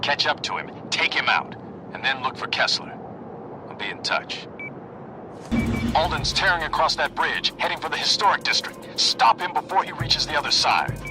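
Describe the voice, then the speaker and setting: Actor as stern, lively-pitched mission handler. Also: male voice, music in the background, lightly acted. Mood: commanding. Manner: brisk, authoritative, clipped. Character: stern, businesslike male handler giving orders. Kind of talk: video game dialogue.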